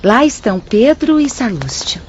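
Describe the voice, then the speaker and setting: A woman's voice: on the high side, big pitch swings, moderate pace, darkish tone. An actress with a Brazilian accent playing a kindly, helpful female spirit guide in a radio drama, formal and courteous. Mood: kindly, welcoming. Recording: dull lo-fi audio, faint background noise.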